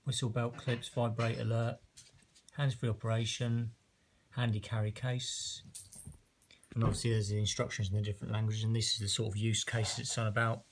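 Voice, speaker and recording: A man's voice: lowish voice, level tone, normal speed. A man giving a casual, informal unboxing and review commentary in a tentative, unscripted way. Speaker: product reviewer. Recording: noisy recording with handling clicks.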